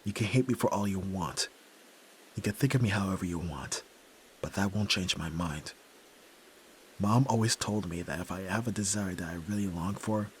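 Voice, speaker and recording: A man playing the sensitive, earnest young son of a crime boss in an ASMR roleplay, emotional and often hushed. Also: low voice. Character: Yakuza boss's young son, sensitive, earnest, resolute nerd. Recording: clear background noise and ambience.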